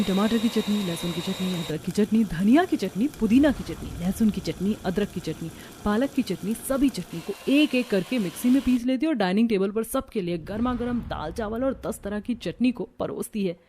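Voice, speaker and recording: A woman's voice: medium pitch, lively intonation, brisk, dark tone, slight background noise. A woman reading scripted story narration. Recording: music behind the voice.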